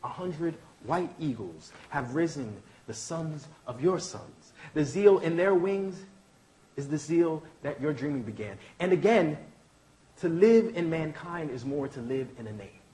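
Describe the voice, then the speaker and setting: A man's voice: a little high, lively intonation, measured pace, warm tone, rough recording. A man reading the part of an earnest, idealistic lawyer in a staged play, with a declamatory, oratorical delivery. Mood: stirring. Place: indoor launch event venue.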